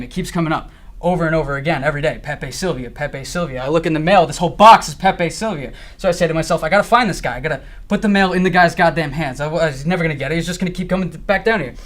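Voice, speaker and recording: A man's voice: fairly high pitch, lightly acted. A man performing a monologue as a paranoid office worker, agitated and ranting theatrically. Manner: ranting, agitated, building to shouts. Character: frantic, paranoid male office worker uncovering a conspiracy.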